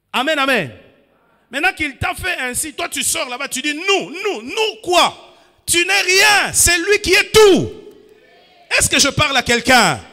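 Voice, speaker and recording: A man from DR Congo preaching a sermon, moving from quiet asides to shouted calls to the congregation. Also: very high-pitched. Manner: fervent preaching with call-and-response, swinging between quiet asides and shouts. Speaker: pastor. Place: church. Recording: church hall reverb.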